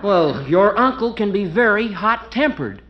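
A young actor playing a mild-mannered, earnest fiancé in a 1940s radio comedy. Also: male voice, sits high, expressive, a little slow, highs cut off. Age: young adult. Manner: earnest, soothing.

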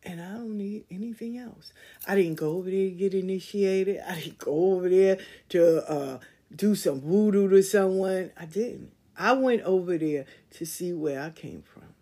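A woman hosting a podcast, speaking in in a candid storytelling way that swings from loud emphasis to quiet asides. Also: lowish voice, moderate pace. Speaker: podcast host. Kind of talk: casual monologue.

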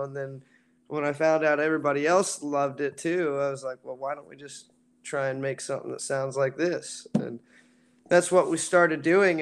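A man who sings in a band, with a raspy voice, talking casually in an interview with lots of fillers. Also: medium pitch. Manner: relaxed, rambling with many fillers. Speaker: band singer.

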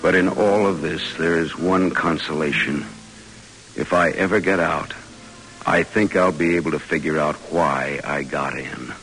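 An actor playing a stern, short-tempered Major leading an expedition in an old-time radio drama. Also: male voice, very deep voice, unhurried, rough recording. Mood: weary, reflective. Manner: brooding in narration, explosive and domineering in command. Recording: old radio recording with music.

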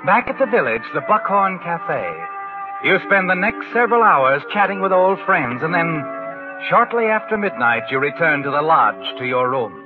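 An actor playing an ominous, knowing narrator in a 1940s radio drama, addressing the doomed character in a taunting, dramatic way. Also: male voice, a little high, big pitch swings, normal speed. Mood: knowing, sardonic. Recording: tinny old radio broadcast, loud music.

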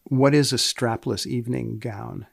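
A man hosting a podcast with scripted narration and short questions, speaking in a deadpan, deliberate, precise way. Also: mid-range voice, gravelly, dry recording, studio quality. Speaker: podcast host and magazine editor.

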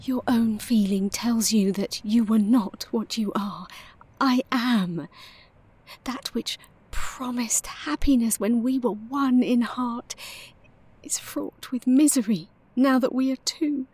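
An actress playing a heartbroken, gently resolute young fiancée in an audio drama, breathy and formal in her delivery. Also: on the high side, slow pace. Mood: sorrowful, resolute.

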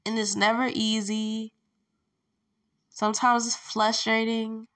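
A woman's voice: on the high side, little pitch movement, takes it slowly, a bit bright. A young woman hosting her own podcast, chatting candidly from home while congested with allergies and lying down, with loose fillers and laughs. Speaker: podcast host. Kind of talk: casual solo podcast chat.

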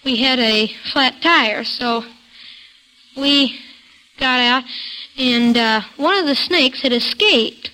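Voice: high voice, slow pace, pauses often, bright, forceful tone, poor audio. A child quiz show panelist telling an anecdote in a hesitant way.

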